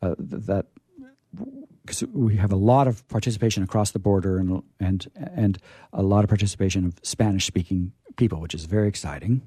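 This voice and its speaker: A man hosting a casual on-air interview in a chatty, halting, wordy way, with playfully grandiose touches. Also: low-pitched, dark tone, husky, no room sound, clean studio sound. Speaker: radio host. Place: radio studio.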